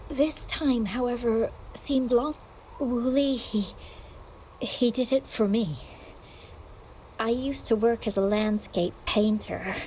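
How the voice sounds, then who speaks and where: Frail young woman, devoted, halting delivery, fairly high pitch, unhurried, video game character. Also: a little mellow, slight background noise, low-quality recording. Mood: halting, worried. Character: frail, devoted young woman, ill former landscape painter.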